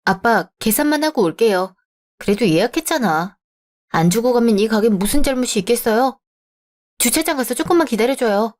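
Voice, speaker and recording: A composed young woman who stays polite but will not back down, read by a woman in a radio drama. Her voice sits fairly high and her reasoning comes out steady. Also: normal speed, close to an everyday voice. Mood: firm.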